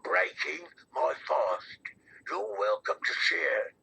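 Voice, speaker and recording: A man voicing a sly, mocking wildling in a fantasy audiobook, with a low, gravelly, taunting delivery. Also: sing-song, pushed and bright, exaggerated voice. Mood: insolent. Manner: taunting, unhurried. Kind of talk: fantasy audiobook character reading.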